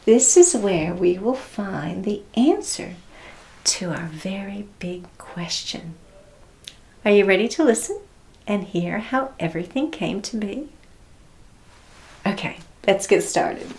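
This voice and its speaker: A woman doing an expressive, interactive storybook read-aloud for children, speaking deliberately and prompting listeners with questions. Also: mid-range voice, gravelly. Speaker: children's religion teacher reading aloud.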